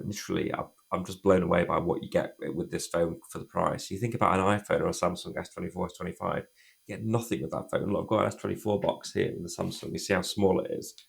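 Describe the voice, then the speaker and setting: A man reviews a phone while nursing a cold, his voice croaky and gravelly. He talks fast and casually in a very low, flat tone, often restarting his sentences. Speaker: tech reviewer.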